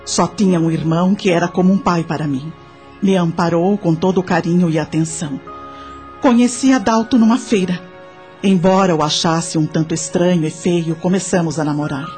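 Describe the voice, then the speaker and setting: A woman acting as a widowed mother in a radio drama, with a mellow, fairly low voice. She tells her life story in a measured, confiding way, full of gratitude and remorse, over clear background music. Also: close to an everyday voice. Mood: reflective, confiding. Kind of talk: dramatic first-person radio drama narration.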